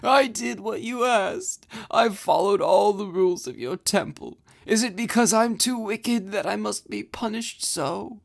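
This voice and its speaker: A man voicing a love-struck, tearful young goddess in a high, whiny falsetto. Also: measured pace, creaky, hardly any pauses. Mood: weepy pleading.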